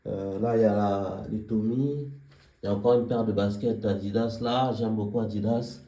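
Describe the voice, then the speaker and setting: A young man giving a casual tour of his apartment, pausing often with fillers as he walks around. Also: fairly low pitch, fairly even intonation, dark tone, roomy sound. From Congolese heritage, living near Paris. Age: young adult. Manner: hesitant, many filler pauses. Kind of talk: casual home tour vlog. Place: small apartment indoors.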